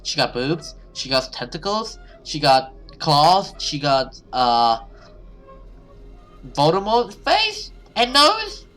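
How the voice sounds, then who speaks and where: A man doing expressive let's play commentary and dramatic read-aloud of a horror visual novel as a gaming host. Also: a little high, takes it slowly, faint music behind. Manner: expressive, reactive, sweary.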